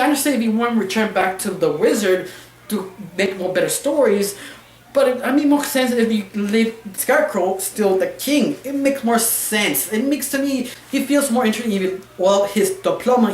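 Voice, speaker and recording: A woman giving a casual, opinionated review, rambling and halting, with non-fluent English phrasing. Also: mid-range voice, darkish tone. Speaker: cartoon reviewer. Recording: roomy, echoey sound.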